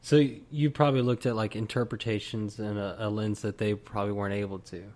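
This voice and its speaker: A man's voice: on the low side, steady tone, fairly quick, a little mellow. A man hosting a podcast, chatting casually and loosely with his guest, with lots of "like" and "you know". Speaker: podcast host. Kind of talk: casual interview.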